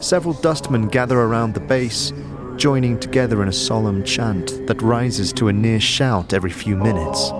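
A man with a low voice narrates a fantasy tale in scripted, second-person storytelling. Music plays clearly behind him throughout.

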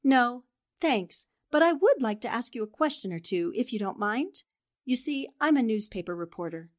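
A woman's voice: on the high side, lively intonation, normal speed, lightly acted. A woman playing a determined, eager newspaperwoman in the style of 1940s radio drama. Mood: businesslike, probing. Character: determined, eager newspaperwoman investigating a haunted house.

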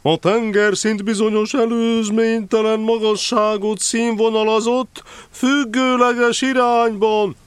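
A man's voice: high-pitched, little pitch movement, clear, resonant tone, no room sound, natural voice. A man playing a pompous, long-winded owl who hoots and hums condescendingly in an audio drama. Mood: lecturing, patronising. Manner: pompous, wordy, hooting and humming.

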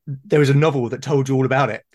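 A man's voice: medium pitch, fairly even intonation, a little fast, darkish tone. A middle-aged man in casual conversation, phrasing his thoughts hesitantly and doubling back on words. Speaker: podcast guest.

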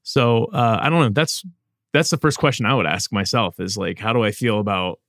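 A man's voice: fairly low pitch, fairly quick, fairly bright tone, dry recording, clean studio sound. A middle-aged man from Ohio with an American accent, chatting casually as a podcast co-host. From Dayton, Ohio, United States. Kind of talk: casual conversational discussion. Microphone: close studio podcast mic.

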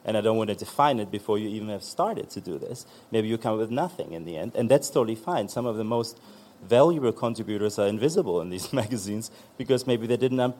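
A man's voice: on the low side, lively intonation, quick pace, darkish tone. A man, a magazine founder on a panel, talking loosely and searchingly with many fillers. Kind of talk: conversational panel talk.

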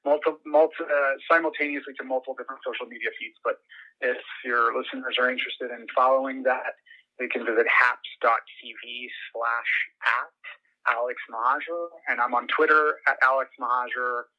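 Male American podcast guest, conversational and earnest, mid-range voice over a dull, phone-like connection. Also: mid-range voice. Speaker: podcast guest, journalist and political commentator. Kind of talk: conversational interview answers. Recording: muffled lo-fi phone-like line.

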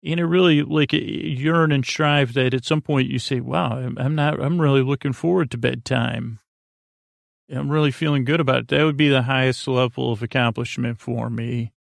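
A man hosting a bedtime podcast, speaking in a gravelly voice with a meandering, halting manner that repeats and trails off. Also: mid-range voice, steady tone, no echo, clean studio sound. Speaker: podcast host. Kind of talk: rambling bedtime podcast monologue.

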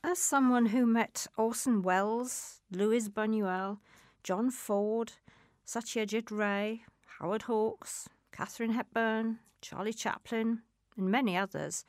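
A woman reading a scripted first-person piece aloud in a measured, storytelling way. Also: fairly high pitch, dry recording, clean studio sound. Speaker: reader.

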